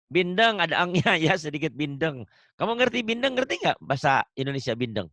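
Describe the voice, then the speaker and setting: A man's voice: a little high, big pitch swings, a little fast, bright, forceful tone, no echo. A man teaching Quran recitation online, patiently drilling pronunciation and laughing often. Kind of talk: online tajwid lesson.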